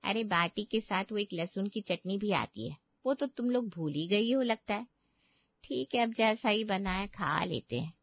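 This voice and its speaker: A woman's voice: mid-range voice, lively intonation, normal speed, no room sound, muffled recording. A woman voicing a boastful, condescending female in-law in a cartoon moral story. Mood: smug.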